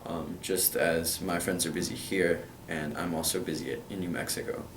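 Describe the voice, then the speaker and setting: A man's voice: sits low, steady tone, normal speed, echoey room, distant mic, background noise. A young man from Kentucky answering interview questions about his school in an earnest way, with plenty of hesitant fillers. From Kentucky, USA.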